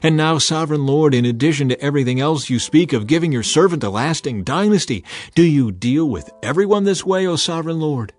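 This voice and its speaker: A man's voice: fairly high pitch, animated, a little fast, few pauses, a little mellow, faint music behind, pitched above the performer's own voice, natural voice. A man playing a humble king who prays earnestly and declaims with reverent gratitude, in a dramatized scripture reading. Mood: humble awe.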